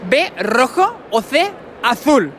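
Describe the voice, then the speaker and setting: A man's voice: very high voice, sing-song, slow, a bit bright, slight background noise. Brash young man. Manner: brash, mocking, playful insults. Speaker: host of street quiz. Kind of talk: teasing street quiz interview. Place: indoor convention hall. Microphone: handheld mic with furry windscreen.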